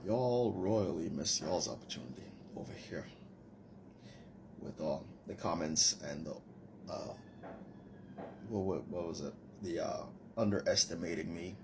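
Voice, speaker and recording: A man in his forties with a Trinidadian accent rants casually, boasting and scolding his critics. His voice sits very low. A faint hiss runs behind him. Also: lively intonation, slow pace, creaky, long pauses. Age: 40s. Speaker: commenter in a stitched video. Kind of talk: casual rant to camera.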